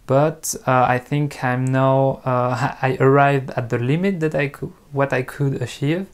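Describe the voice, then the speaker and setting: French-accented male host, unhurried and halting, frequent filler pauses, off-the-cuff talk to viewers. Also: mid-range voice, fairly even intonation, smooth. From France. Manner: hesitant, pausing often with ums and uhs. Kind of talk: unscripted talk to camera.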